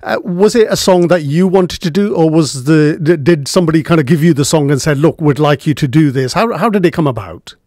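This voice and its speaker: A man's voice: a little high, brisk, few pauses, darkish tone, no room sound. A middle-aged man presenting a radio show, asking a guest friendly questions in a studio. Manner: friendly questions with many uh fillers. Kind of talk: casual radio interview. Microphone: studio microphone with headphones.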